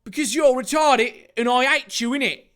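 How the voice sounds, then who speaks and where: Man putting on a high, insolent thug. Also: normal speed, a bit bright. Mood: scornful. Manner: tag questions, sneering insults. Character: insolent, taunting male thug with a verbal tic.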